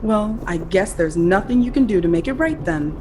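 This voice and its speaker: A woman's voice: mid-range voice, animated, moderate pace, background noise, close to an everyday voice. An actress in an audio drama playing a hurt, guarded younger woman who is insistent with her lover. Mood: indignant, demanding. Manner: indignant, insistent, emotionally guarded. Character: hurt, demanding younger woman in love with brother's best friend. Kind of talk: contemporary audio drama acting. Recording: music under the voice.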